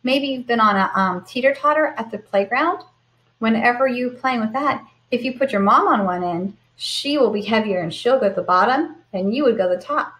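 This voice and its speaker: Higher-pitched American woman teaching young children. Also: expressive, moderate pace, a bit bright, rough recording. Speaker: primary school teacher reading aloud to children. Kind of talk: picture book read-aloud with explanations for children. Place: indoors at home, in front of a computer.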